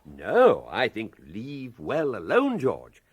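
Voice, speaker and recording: A genteel older English gentleman, shrewd and urbane. His formal sentences rise and fall very widely, and the sound is close and dry, as in a radio comedy. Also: mid-range voice, wildly swooping pitch, husky, dry recording, heavily acted. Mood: calm, decisive. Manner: urbane, unhurried, formal phrasing. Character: genteel, shrewd old Englishman, a prisoner running culture classes. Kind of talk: British radio comedy drama acting.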